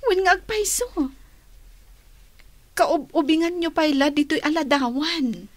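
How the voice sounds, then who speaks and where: A woman's voice: sits high, a little slow. A woman in an Ilocano radio drama playing a young, well-bred niece, inquisitive and incredulous, full of questions and exclamations. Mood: admiring, curious.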